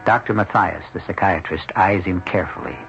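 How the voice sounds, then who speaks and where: A man hosting and narrating an old radio mystery in a deliberate, portentous scripted style. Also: low voice, a bit bright. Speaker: host and narrator. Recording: dull vintage radio recording with music.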